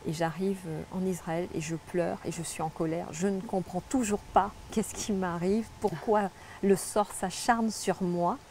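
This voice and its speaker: A woman's voice: fairly low pitch, steady tone, measured pace, mellow, a little hiss or hum. A middle-aged woman from French Guiana, a guest telling her life story in an earnest, reflective way with frequent restarts. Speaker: interview guest, spiritual guide. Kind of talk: personal testimony in conversation.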